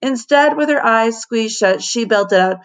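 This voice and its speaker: A woman teacher reading a children's story aloud in an expressive narration, with dramatic emphasis on key words. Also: a little high, a little slow.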